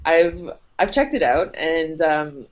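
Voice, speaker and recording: Low-voiced young woman, chatty guest. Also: animated, slow pace. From Canada. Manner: chatty, upbeat, many fillers and laughs. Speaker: content marketing manager, interview guest. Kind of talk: casual podcast interview.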